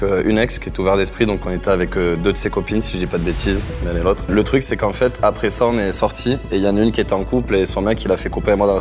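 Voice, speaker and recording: A young man on a dating show, telling a bawdy anecdote in a blunt, unbothered way. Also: fairly low pitch. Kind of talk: casual anecdote.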